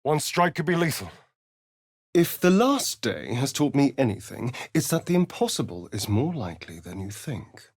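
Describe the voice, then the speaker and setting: An actor playing a sly, vain vampire spawn rogue in a video game, speaking in an arch, theatrical drawl. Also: male voice, mid-range voice, sing-song, normal speed, husky, no room sound, studio quality. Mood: wry, conversational. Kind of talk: video game character voice acting.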